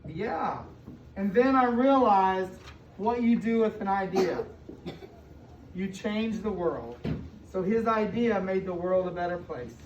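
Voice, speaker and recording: An American woman reads a picture book aloud to young children, pausing to explain and ask questions, with emphatic stretched words. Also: medium pitch, animated, a little mellow. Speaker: school superintendent reading aloud to children. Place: indoor room with children present. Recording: distant, echoey, muffled room recording with noise.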